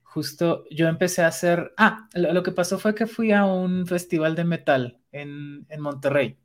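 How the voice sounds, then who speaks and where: Low-voiced chilanga woman chatting. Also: normal speed. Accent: Mexico City Spanish. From Mexico City. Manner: chatty, laughs often, trails into asides. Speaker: podcast co-host. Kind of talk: casual chat.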